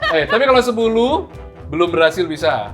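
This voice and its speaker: A man hosting a quiz show with lively game show banter. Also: high voice, a little fast. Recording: loud music bed behind the voice. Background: music.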